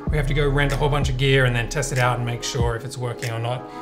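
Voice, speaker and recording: An Australian man in his thirties presents a casual tech vlog. His voice sits at a middling pitch, and music plays clearly behind him as he talks through gear. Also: steady tone, fast. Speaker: tech vlogger and director. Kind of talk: casual unboxing and review narration.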